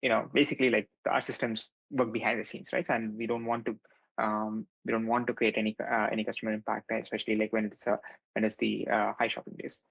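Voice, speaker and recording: A man speaks in a flat, gravelly voice, hesitating with fillers and often adding "right?". The audio is a little dull. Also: lowish voice, quick pace. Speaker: panel speaker. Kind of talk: casual work talk.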